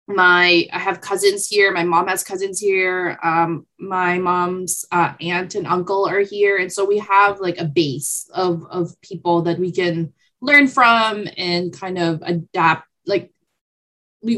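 A young woman from the Philippines, a podcast guest chatting in an animated, expressive way. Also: lowish voice. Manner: animated and expressive, quick laughs. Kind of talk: casual interview chat.

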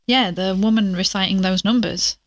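A woman's voice: mid-range voice, fairly even intonation, normal speed, dry recording, close to an everyday voice. A woman acting as a wry, impatient young investigator in an audio drama, blunt and teasing with her friends. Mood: brisk, wry.

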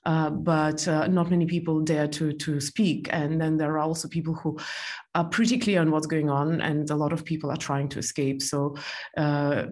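A woman from Estonia answering interview questions in a measured way, pausing often with fillers and restarting her sentences. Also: low-pitched, fairly even intonation, darkish tone. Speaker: interview guest.

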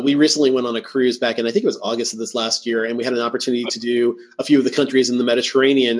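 A man hosts a travel podcast in a casual interview style, upbeat and quick to laugh. His voice is a little husky and breathy, sitting at a middle pitch. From California. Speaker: podcast host.

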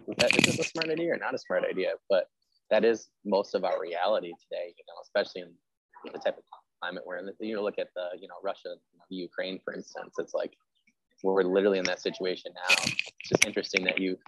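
A man's voice: sits low, fairly even intonation, fairly quick, background noise. A man hosting a casual interview, chatting effusively and peppering his talk with "like". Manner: effusive, conversational, heavy use of "like". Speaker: interview host; history teacher.

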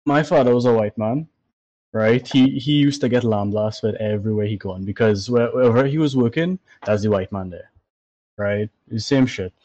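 A man's voice: mid-range voice, moderate pace, a little mellow, lo-fi sound. A tired man with a Trinidadian accent, talking animatedly and swearing in a casual podcast chat. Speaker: podcast co-host.

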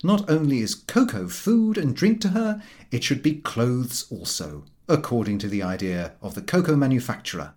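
A man reading a humorous literary story aloud in an expressive, dryly droll way. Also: mid-range voice, normal speed. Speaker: audiobook reader.

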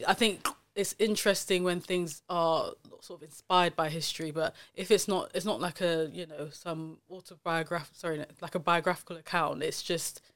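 A woman's voice: lowish voice, fairly even intonation, moderate pace, few pauses, fairly bright tone, no room sound. A woman hosting a casual podcast chat, talking loosely with lots of fillers and restarts. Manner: chatty, many fillers and self-corrections. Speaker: podcast host.

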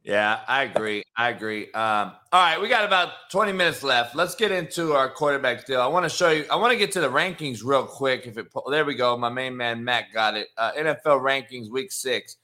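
A man's voice: mid-range voice, lively intonation, brisk, few pauses, pushed and bright. A man with an American accent hosting a sports talk show, arguing casually and bluntly with plenty of swearing. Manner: blunt, brash, heavy swearing. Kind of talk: casual profane sports debate.

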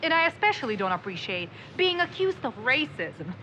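Woman acting a defensive female journalist, haughty, bright forceful voice, animated pitch, audio drama, some background noise. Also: brisk, exaggerated voice. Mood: indignant. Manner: haughty, dismissive.

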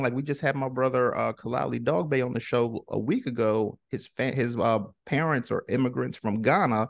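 A man with a gravelly, creaky voice hosts a casual interview show. He talks informally and encouragingly, and the sound is dry and close, with hardly any room on it. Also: mid-range voice, no room sound. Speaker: podcast host.

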